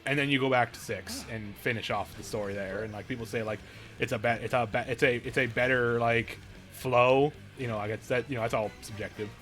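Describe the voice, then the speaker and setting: A man's voice: mid-range voice, fairly even intonation, fairly quick, a bit bright. A man giving casual live stream game commentary, rambling and often rephrasing mid-thought, with game music behind him.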